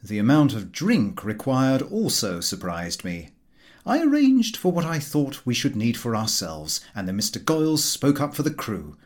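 A man reading a comic novel aloud as a narrator, expressive and drily humorous. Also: medium pitch, moderate pace. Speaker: audiobook narrator.

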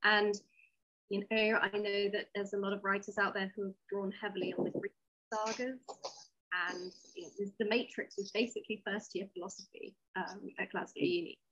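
Husky woman in conversation. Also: medium pitch, fairly even intonation, normal speed, a bit bright, muffled recording. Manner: candid, opinionated. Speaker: interview guest, sci-fi writer. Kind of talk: conversational interview, explaining.

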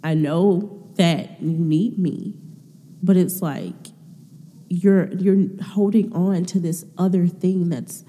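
A woman's voice: lowish voice, fairly even intonation, slow pace, dark tone, slightly rough, in a large hall. A woman hosting a podcast from her room, talking casually about faith with a stuffy nose, rambling with lots of filler words. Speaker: podcast host. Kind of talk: casual faith talk monologue.

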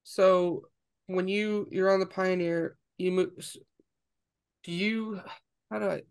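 A man in his twenties hosting a casual podcast, asking curious, halting questions that often restart mid-sentence. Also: sits high, fairly even intonation, vocal fry, clean tone. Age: 20s. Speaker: podcast host.

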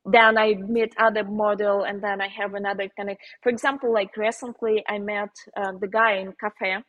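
A woman painter with a Slovenian accent, speaking as a guest in a casual interview, pausing often with fillers and restarts. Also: mid-range voice, flat delivery, narrow, tinny audio. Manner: halting, many fillers and restarts, laughs often.

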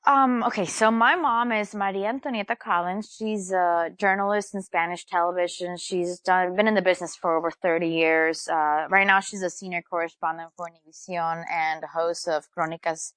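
A woman sports anchor, a guest in a casual interview, who talks fast and animatedly and laughs often. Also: on the low side, fairly even intonation, a bit bright, dry recording.

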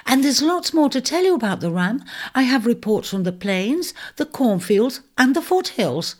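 A woman voicing an excitable, gossipy little male mouse messenger who chatters on without pause. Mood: insistent. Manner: chattering, shrill and breathless.

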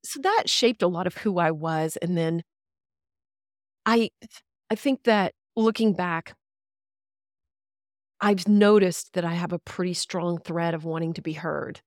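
Brief: woman, 50s, from Central Texas, lowish voice, dry close sound, reflective and chatty guest. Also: a little slow, long pauses, dry recording. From Central Texas, USA. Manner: reflective, chatty, with easy laughs. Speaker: podcast guest, radio veteran. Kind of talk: casual interview storytelling.

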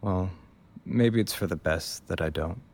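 A man's voice: sits low, measured pace, a little mellow, slight background noise. A man playing a wistful, hesitant young narrator in an audio drama, musing as he speaks.

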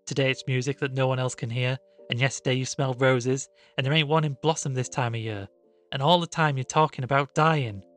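A man voicing a sharp, anxious country woman who speaks in an emphatic rustic way in a storybook reading. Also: medium pitch, fairly even intonation, a little fast, soft background music, natural voice. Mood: cross, scolding. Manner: emphatic, rustic colloquial speech.

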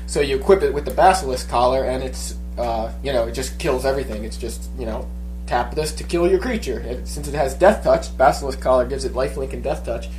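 A man's voice: medium pitch, fairly quick, darkish tone, soft background music, poor audio. Stuffy-nosed man chatting casually. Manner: rambling, many fillers and restarts. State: stuffy head cold. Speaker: podcast co-host. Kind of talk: casual chat.

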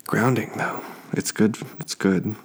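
A tired man hosting a sleep podcast, speaking softly in an unhurried, relaxed and understated ramble. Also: fairly low pitch, slight background noise. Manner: slow, deliberately relaxed, understated. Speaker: podcast host. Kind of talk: soft-spoken rambling sleep podcast monologue.

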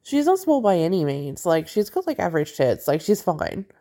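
A woman hosting a movie review podcast in a relaxed, chatty way, laughing often and swearing casually, with a congested, stuffy voice. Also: lowish voice, moderate pace.

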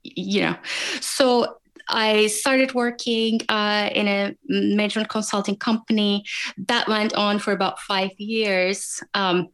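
A woman with a Turkish accent, answering interview questions as a guest in a measured, reflective way. Her voice sits at a medium pitch. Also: fairly even intonation, fairly bright tone, clear, resonant tone, low-quality recording. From Turkey. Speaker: guest, wound healer and coach.

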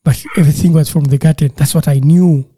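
A man's voice: on the high side, steady tone, quick pace, warm tone, husky. A man from Uganda, working in childcare, talking casually and earnestly in an interview, often repeating and restarting words.

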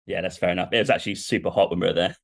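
A man's voice: sits low, fairly even intonation, racing, creaky. A man hosting a podcast, chatting casually and asking long, rambling questions. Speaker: podcast host. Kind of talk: casual interview talk.

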